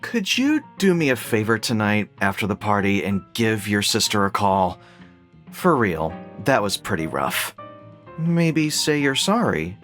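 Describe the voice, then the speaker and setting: A patient man with a very deep pitch and wide, swooping intonation. He is placating and gently wry, an audio dramedy partner. He takes his time. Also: big pitch swings, soft background music, heavily acted. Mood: gentle, coaxing. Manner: conciliatory, measured, gently wry. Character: patient, placating male partner, a psychology student named Todd.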